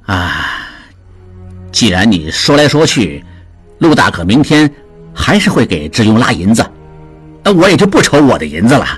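A man's voice: mid-range voice, big pitch swings, husky, soft background music. Man voicing a jittery, flustered old-time merchant. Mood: relieved. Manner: fast, flustered, stammering exclamations. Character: excitable middle-aged male merchant, easily rattled. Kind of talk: audiobook character voice.

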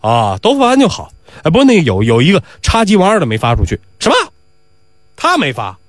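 A man's voice: on the high side, wildly swooping pitch, fairly quick, no room sound, clean studio sound. A man voicing a bossy, impatient master berating his servant in a comic folk tale. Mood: brisk. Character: impatient, scolding master of a household, male.

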